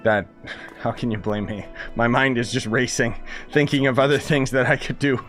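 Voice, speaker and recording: Streamer guy rambling opinions over gameplay, medium pitch, casual slang, faint music behind. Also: fairly even intonation, normal speed, fairly bright tone. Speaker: game streamer. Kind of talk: casual live game commentary. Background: faint game music.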